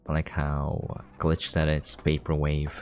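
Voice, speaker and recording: A man's voice: extremely low pitch, completely flat delivery, slow. A man giving casual, offhand gameplay commentary as a streamer, informal and a little sweary. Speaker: video game streamer and reviewer. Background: soft game music.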